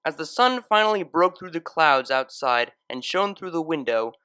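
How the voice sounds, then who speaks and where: Expressive, bright-voiced male story narrator. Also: a little high, dry recording. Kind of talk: expressive scripted fan fiction narration.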